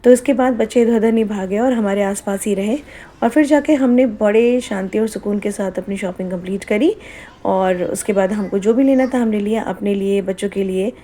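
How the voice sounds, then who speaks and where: A woman's voice: mid-range voice, fairly quick, dark tone. A woman narrating a family vlog in a chatty, casual way, slipping English phrases into her Hindi. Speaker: vlogger.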